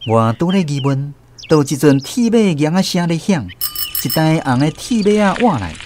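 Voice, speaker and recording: A man's voice: on the high side, big pitch swings, moderate pace, darkish tone, slight background noise. A man playing a storyteller who recalls his naive country boyhood, narrating a radio drama with a Taiwanese accent. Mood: reflective.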